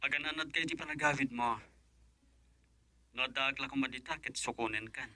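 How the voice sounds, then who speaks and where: An earnest romantic suitor in a radio drama. His mid-pitched voice is very bright and pressing, and the sound is dry and close. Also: normal speed, dry recording. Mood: wooing. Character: smitten professional man, earnest romantic suitor. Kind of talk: radio drama acting in Ilocano.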